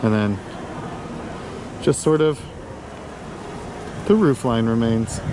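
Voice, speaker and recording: A man's voice: mid-range voice, slow pace, noisy background. A man casually narrating a walking tour outdoors at a theme park, rambling and offhand and correcting himself as he goes. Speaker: vlog host.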